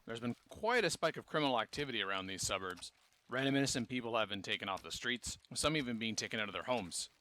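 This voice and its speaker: Guy doing a police captain in a fan audio play, crisp forceful voice, official, no nonsense, guarded. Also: mid-range voice, lively intonation, fast. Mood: businesslike. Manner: matter-of-fact, official. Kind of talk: fan audio drama acting.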